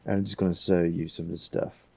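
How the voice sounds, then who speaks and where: A man with a very low, dark voice hosts a casual software tutorial. He talks unscripted, thinking aloud as he works. The sound is dry, recorded close to the microphone. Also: little pitch movement, fast talker, no room sound. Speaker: tutorial host.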